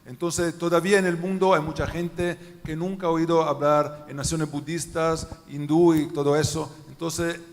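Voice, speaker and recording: A man speaking as a missionary guest in a church interview, earnest and emphatic, often letting his sentences drop off quietly. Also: a little high, level tone, normal speed, few pauses. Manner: earnest, emphatic lists, quiet falling endings. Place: large church hall. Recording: long church hall reverb.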